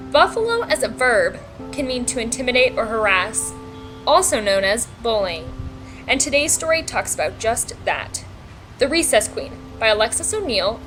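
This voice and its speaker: Animated high-voiced woman reading a storybook. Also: low-quality recording. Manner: animated, dramatic, sing-song rhymes. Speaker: read-aloud storyteller. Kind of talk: expressive children's picture book narration. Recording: voice-over with music underneath. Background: background music.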